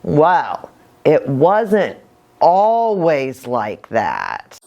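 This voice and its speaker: A woman's voice: deep voice, wildly swooping pitch, very slow, vocal fry, warm tone, dull audio. A woman hosting a children's storytime as a pastor, talking to young children with lots of questions and reading aloud with little asides. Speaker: children's ministry host and pastor.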